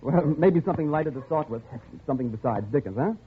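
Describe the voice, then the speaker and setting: An actor playing an uneasy, polite man who tells his story in a measured, reflective way in a 1940s radio drama. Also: a little high, expressive, narrow, tinny audio, a little hiss or hum, natural voice. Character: uneasy, polite male visitor narrating his captivity. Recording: thin old radio recording with music.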